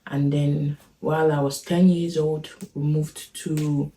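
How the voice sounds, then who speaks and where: A woman's voice: deep voice, flat delivery, slow pace, mellow, slight background noise. A woman in her thirties with a Nigerian accent, chatting casually on a family vlog and laughing often. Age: 30s. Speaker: vlogger. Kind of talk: casual chat. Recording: echoey room from far off.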